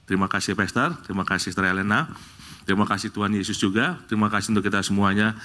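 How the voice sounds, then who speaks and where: A middle-aged man from Bali leads a church prayer, then preaches a sermon to the congregation. His talk is lively, rising to loud exclamations and jokes with the congregation. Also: fairly low pitch, racing. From Bali, Indonesia. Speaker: guest preacher. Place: church service.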